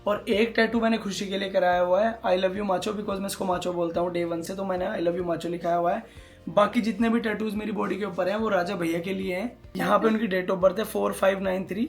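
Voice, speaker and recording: A man's voice: high-pitched, little pitch movement, moderate pace, gravelly, soft background music. A man in his thirties chatting casually with his wife on their vlog, playful and talkative. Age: 30s. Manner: chatty, playful, mixes English phrases. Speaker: vlogger. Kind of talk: casual couple vlog chat.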